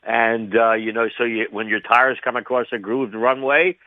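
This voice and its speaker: An older man giving his view as an interview guest over the phone, speaking in a halting, reflective way and repeating phrases for emphasis. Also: medium pitch, flat delivery, dry recording. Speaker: retired air traffic controller, interview guest. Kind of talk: spontaneous radio interview commentary. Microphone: phone call to a radio show. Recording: tinny, band-limited phone line.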